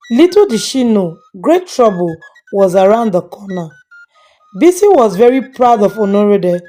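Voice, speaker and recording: A woman narrates a scripted moral folktale. Her voice sits fairly high, with music playing quietly behind her. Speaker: storyteller.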